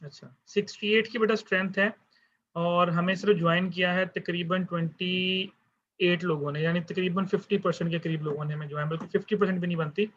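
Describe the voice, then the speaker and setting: Man, lecturer, calm fatherly roll call, slightly high voice, dull call audio. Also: a little fast. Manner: calm, fatherly, addresses students as beta. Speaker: university lecturer. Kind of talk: online class roll call. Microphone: online class call.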